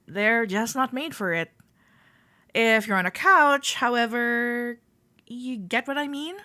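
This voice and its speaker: Woman acting friendly club member, higher-pitched, slow-paced, gentle, softly teasing, reassuring, roleplay audio for the listener. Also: dry recording, heavily acted. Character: friendly, teasing female cuddle club member giving a tour.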